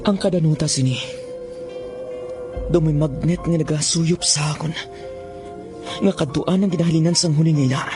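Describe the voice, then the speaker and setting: A man's voice: fairly high pitch, moderate pace, pauses often, over music, natural voice. A man acting a brash, easily awestruck young bird trapper in a radio drama, theatrical, with a Western Visayan Filipino accent. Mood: awed. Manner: theatrical, stammering when startled. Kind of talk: radio drama acting with first-person narration.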